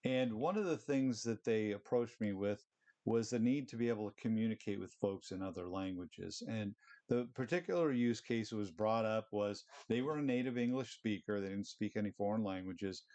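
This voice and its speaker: Casual male presenter, middle-aged, slightly low voice, chatty walkthrough of a screen demo. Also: normal speed. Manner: relaxed, conversational, fillers like "you know" and "um". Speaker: presenter of short tech tips.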